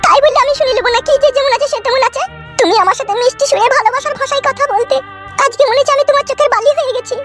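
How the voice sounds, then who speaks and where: A woman doing a squeaky, fast-talking village wife. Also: a bit bright, soft background music. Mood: reproachful. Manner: pleading, complaining. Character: village wife, young, aggrieved, nagging. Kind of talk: cartoon dub acting.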